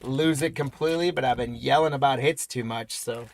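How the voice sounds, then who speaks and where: A man hosting a live trading card break, rattling off player names and congratulating viewers, his voice going hoarse. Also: medium pitch, a little fast. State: voice weakening, losing it.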